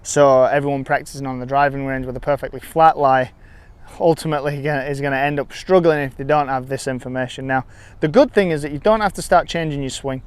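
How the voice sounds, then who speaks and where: A man's voice: mid-range voice, fairly even intonation, quick pace, clear, resonant tone. A man giving step-by-step golf instruction outdoors, often repeating and rephrasing as he explains.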